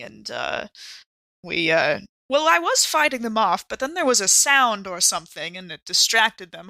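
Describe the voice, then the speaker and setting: A woman playing a wry, exasperated survivor in an improvised horror audio drama, with a halting, sardonic delivery. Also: medium pitch, gravelly, sharp tone, no room sound, exaggerated voice.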